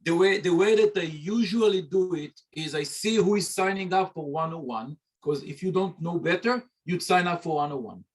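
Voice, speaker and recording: Male Hebrew instructor, slightly high voice, playful, chatty, talking on a video call. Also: moderate pace, few pauses. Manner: joking, conversational, talks straight to students. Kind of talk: informal presentation on a video call.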